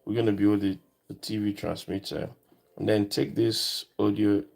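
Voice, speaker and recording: A man giving a casual, unscripted electronics demonstration, thinking aloud in short, halting phrases. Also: fairly low pitch, steady tone, creaky, darkish tone. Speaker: electronics hobbyist presenter.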